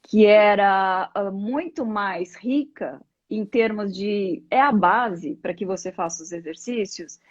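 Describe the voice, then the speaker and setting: A woman's voice: medium pitch, slow, dry recording. A middle-aged Brazilian woman talking conversationally as a live interview guest, explaining things reflectively with examples. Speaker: interview guest, yoga practitioner. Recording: dull, lo-fi live stream audio.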